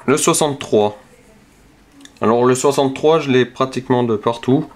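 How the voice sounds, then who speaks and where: A man calmly talks through each number as he scratches lottery tickets, casual and methodical. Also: mid-range voice, moderate pace. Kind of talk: casual narration while scratching cards. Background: scratching sounds.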